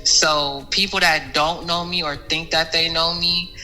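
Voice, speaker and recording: Woman guest, low and very bright voice, animated storyteller. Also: a little slow, faint music behind, poor audio. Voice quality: soothing voice. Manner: animated, restarts and repeats words, laughs often. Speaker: podcast guest, singer. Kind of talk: casual interview chat.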